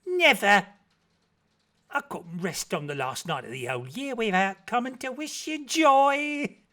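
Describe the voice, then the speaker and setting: A man's voice: high voice, very animated, measured pace, bright, forceful tone, pitched above the performer's own voice, heavily acted. A man voicing a good-humoured, effusive woman of about fifty, motherly and theatrical in her gushing. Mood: gushing, affectionate.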